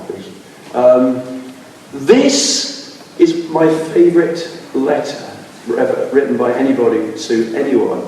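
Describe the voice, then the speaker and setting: A man's voice: medium pitch, darkish tone. A man giving an informal slide lecture, rambling with many restarts and losing his voice. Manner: discursive, with many fillers and restarts. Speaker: speaker giving a public talk. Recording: echoey hall, from far off.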